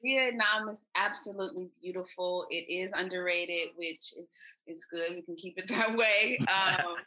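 A woman's voice: fairly low pitch, unhurried, sharp tone, rough recording. An American woman chatting as a podcast guest, telling upbeat stories over a remote call. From United States. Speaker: podcast guest, expat traveler. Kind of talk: casual interview chat.

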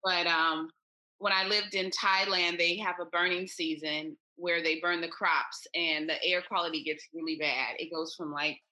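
A woman's voice: fairly low pitch, steady tone, moderate pace, pushed and bright, low-quality recording. An American woman chatting as a podcast guest, telling upbeat stories over a remote call. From United States. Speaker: podcast guest, expat traveler. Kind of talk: casual interview chat.

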